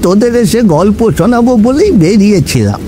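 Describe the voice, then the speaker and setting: A man's voice: high-pitched, very animated, fairly quick. A man voicing a kindly old village grandfather in a cartoon ghost story, talking in a folksy, affectionate way. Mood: warm, reminiscing. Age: older. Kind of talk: animated ghost story acting. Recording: rain and storm noise. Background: rain, thunder.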